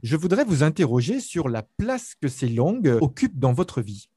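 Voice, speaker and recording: A man interviews his guest in formal French, his questions measured and carefully built. His voice is mellow and slightly husky, with lively intonation that rises and falls. The sound is dry and close. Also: a little high, dry recording.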